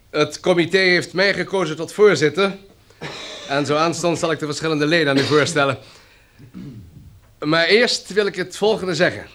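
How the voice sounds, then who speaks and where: Solemn, high-pitched male committee chairman. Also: pushed and bright. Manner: formal, measured, oratorical. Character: solemn, composed male chairman addressing survivors of a catastrophe. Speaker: actor in a radio drama. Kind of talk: radio drama acting, formal speech.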